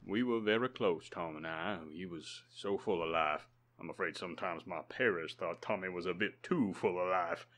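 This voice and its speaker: A man acting in old-time radio style as a middle-aged reverend and doting bereaved father, speaking courteously and sentimentally, a little haltingly. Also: low voice, fairly bright tone. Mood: fond, grieving.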